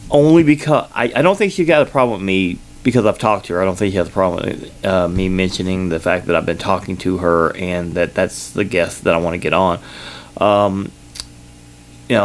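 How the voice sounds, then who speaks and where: Southern man, podcast host, low gravelly voice, rambling and filler-heavy, studio mic. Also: slight background noise. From Kentucky, United States. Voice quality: loud voice at times. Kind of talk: casual solo podcast update. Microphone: sensitive studio microphone.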